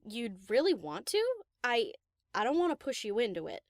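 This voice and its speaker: A woman's voice: a little high, sing-song, moderate pace, dry recording, studio quality. A woman voicing a kind-hearted, vulnerable female pony DJ in an audio drama, talking informally and hesitantly. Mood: unsure.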